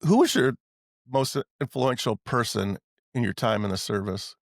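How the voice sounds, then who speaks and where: A man's voice: mid-range voice, moderate pace, gravelly, no echo, clean studio sound. A man hosting a podcast, chatting in a plain-spoken, informal conversational way. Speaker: podcast host, veteran. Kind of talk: casual conversational interview.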